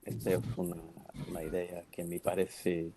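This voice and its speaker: A man's voice: deep voice, completely flat delivery, normal speed, background noise. A man from Brazil with a Brazilian Portuguese accent, speaking Spanish as a second language, commenting hesitantly in an academic discussion. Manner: hesitant, searching for words, many fillers.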